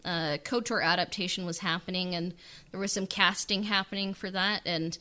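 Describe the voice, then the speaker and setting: A woman's voice: lowish voice, steady tone, normal speed, pushed and bright. A woman chatting casually on a podcast as a writer-director, informal and hesitant in the way she talks. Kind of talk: casual interview chat.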